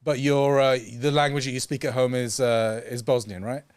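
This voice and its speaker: A man co-hosting a casual studio chat as editor, asking relaxed, friendly questions. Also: medium pitch, moderate pace, clean tone, no room sound. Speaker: editor and co-host.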